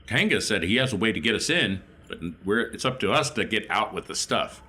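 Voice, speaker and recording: A man's voice: fairly low pitch, expressive, fairly quick, bright, forceful tone, breathy edge. A man playing a tabletop roleplaying game, talking through his character's plans in casual, improvised chat.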